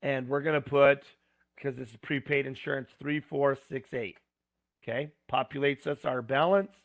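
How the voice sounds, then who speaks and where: Gravelly man walking through homework. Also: mid-range voice, steady tone, moderate pace, no room sound. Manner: plain step-by-step talk with asides and fillers. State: going hoarse. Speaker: instructor. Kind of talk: screen-recorded homework walkthrough.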